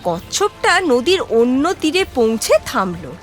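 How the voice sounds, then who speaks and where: High, animated woman telling children's stories. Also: unhurried, faint music behind. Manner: expressive, addressing children. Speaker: storyteller for children. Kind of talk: scripted children's story narration.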